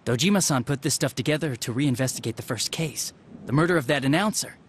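A man's voice: medium pitch, quick pace, slight background noise, close to an everyday voice. A man playing a hapless, casual young police detective in a video game, hesitant and easily flustered. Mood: intrigued. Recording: music under the dialogue.

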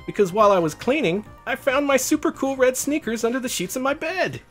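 A man's voice: very high voice, animated, a little fast, faint music behind, pitched above the performer's own voice. A man voicing a smug, boastful young hedgehog hero in a storybook. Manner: smug, breezy. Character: cocky, boastful, self-important young male hedgehog hero.

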